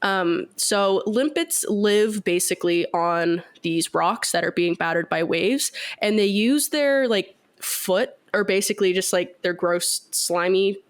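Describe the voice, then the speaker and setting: A woman's voice: medium pitch, lively intonation, measured pace, hardly any pauses. A woman with a cold sounding congested, chatting on a podcast as she explains a science fact with lots of fillers. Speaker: podcast co-host.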